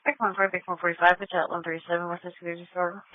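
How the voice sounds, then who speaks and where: Flat-toned female dispatcher on police radio. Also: fairly low pitch, very fast, no room sound. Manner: flat, procedural. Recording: tinny narrowband police scanner radio.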